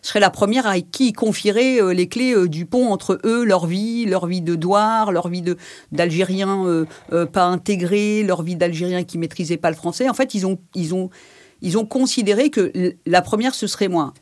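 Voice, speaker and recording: A woman in her sixties born in France, recalling her life in a personal interview, pausing often with fillers and restarts. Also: lowish voice, a little mellow, no room sound. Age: 60s. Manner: hesitant, reflective, many fillers and restarts. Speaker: interview guest.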